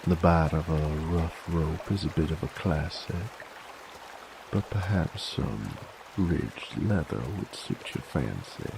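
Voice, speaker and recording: A man playing a cocky, teasing werewolf bounty hunter in an Old West audio drama, with a gravelly voice and a folksy, sardonic American Western drawl. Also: a bass voice, lively intonation, takes it slowly, noisy background, full character voice. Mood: teasing, suggestive. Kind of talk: audio roleplay drama, monologue to a captive.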